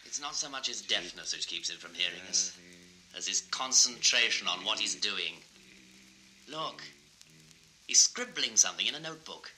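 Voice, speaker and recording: An actor playing an earnest, admiring gentleman painter in a declamatory 1960s children's record style. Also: lowish voice, expressive, normal speed, pauses often, a little hiss or hum, rough recording. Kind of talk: 1960s children's record radio-play acting.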